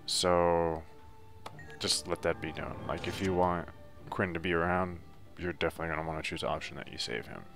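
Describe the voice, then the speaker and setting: A man's voice: low voice, brisk, gravelly, fairly bright tone, faint music behind. A man giving a casual, unscripted video game walkthrough in a loose, hesitant, laid-back way. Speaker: video game guide narrator.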